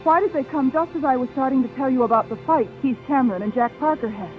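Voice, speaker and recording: A woman's voice: high-pitched, quick pace, natural voice. An actress playing a defensive, indignant woman suspected of murder in a 1950s radio drama, speaking insistently. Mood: earnest. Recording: tinny old radio recording with music.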